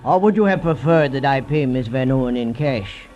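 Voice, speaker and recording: An actor playing a curt, sarcastic crime boss and killer in a 1940s radio drama. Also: male voice, medium pitch, animated, normal speed, a little mellow, faint music behind, lightly acted. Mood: sardonic, cold. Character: cold, sardonic male crime boss, a killer fence. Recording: dull vintage radio recording.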